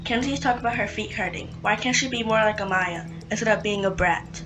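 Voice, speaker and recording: A woman's voice: medium pitch, brisk, over music, natural voice. A woman playing a strict, impatient dance mom in an amateur roleplay drama, blunt and nagging, recorded in an echoey room. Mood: scolding.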